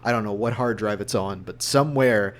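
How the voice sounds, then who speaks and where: Low-voiced young man, rambling casually, noisy background. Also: a little fast. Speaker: voice actor guest. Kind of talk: casual interview chat.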